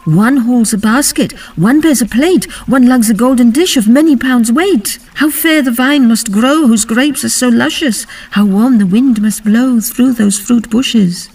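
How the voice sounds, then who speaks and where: Woman as high-voiced earnest young Victorian maiden. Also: unhurried, few pauses, a little mellow, slightly rough, recorded from a distance. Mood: entranced. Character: young golden-haired maiden sisters, earnest and wide-eyed. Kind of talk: theatrical Victorian verse reading.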